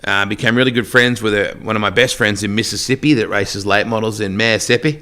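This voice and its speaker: Middle-aged Australian race announcer, lowish voice, bright and forceful delivery, chatty storyteller. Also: male voice, steady tone, quick pace. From Western Australia. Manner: chatty storyteller, joking asides. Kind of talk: casual podcast interview.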